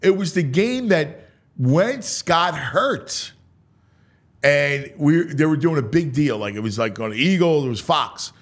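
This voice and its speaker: A man hosting a sports talk show, mixing dramatic, drawn-out delivery with casual, offhand asides. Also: a little high, lively intonation.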